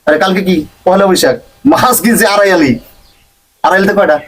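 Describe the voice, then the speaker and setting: An actor plays a showy, put-upon big spender with a Bangladeshi accent. His voice sits high for a man and moves about animatedly as he complains in comic-drama dialogue. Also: animated, fairly quick, rough recording. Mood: showy complaining. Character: put-upon spender boasting and moaning about festival expenses.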